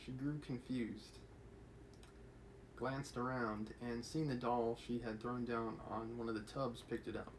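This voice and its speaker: A man reads a novel aloud in a relaxed livestream, slipping into light voices for the characters and casual remarks to his chat. His voice sits in the middle of the male range, and a clear noise hangs behind it. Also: mid-range voice, a little slow, rough recording. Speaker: livestream reader. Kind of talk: reading a novel aloud on a livestream, chatting with viewers.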